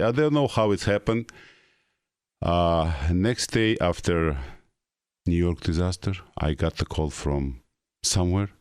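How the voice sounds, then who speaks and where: Middle-aged male guest, Russian accent, very deep voice, hesitant broken English. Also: darkish tone, smooth, no echo, clean studio sound. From North Ossetia, Russia. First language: Ossetian. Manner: halting English with frequent uh pauses. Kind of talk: casual interview.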